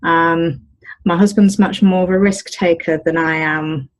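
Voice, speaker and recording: A British woman talking casually in an interview as the owner of an olive grove, pausing often with ums and restarts. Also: on the low side, fairly even intonation. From United Kingdom. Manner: hesitant, many ums and restarts.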